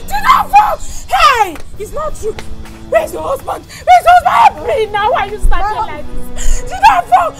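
An actress with a Nigerian accent playing a distraught village wife who calls out and wails. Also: female voice, very high-pitched, very animated, unhurried, pauses often, a crowd in the background, full character voice. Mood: wailing grief. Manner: wailing, shouting a name. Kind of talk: film acting. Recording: loud film music behind.

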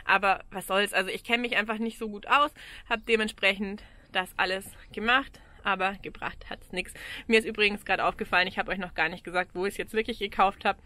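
A woman's voice: medium pitch, steady tone, fairly quick, sharp tone. A woman in her forties with an Austrian accent, talking casually and frankly to the camera in a camper van, with frequent ums and small laughs. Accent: Austrian German. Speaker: vlogger. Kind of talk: casual vlog walkthrough. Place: in and around a camper van outdoors. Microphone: action camera held at arm's length.

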